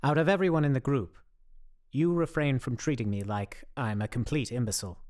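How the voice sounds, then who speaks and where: A man's voice: medium pitch, fairly quick, a little mellow, lightly acted. A man playing an earnest, socially awkward survivor in a radio play, speaking in stiff, wordy, over-precise phrases. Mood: earnest, formal. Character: earnest, socially awkward, overly formal male zombie survivor.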